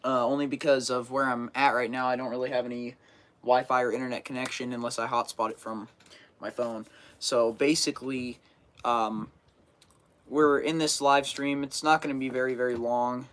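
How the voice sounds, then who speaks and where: A man's voice: mid-range voice, little pitch movement, moderate pace, fairly bright tone. A teenage boy chatting casually on a live stream, getting over a cold and sniffling, rambling with lots of fillers and restarts. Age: teens. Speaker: fishing channel host.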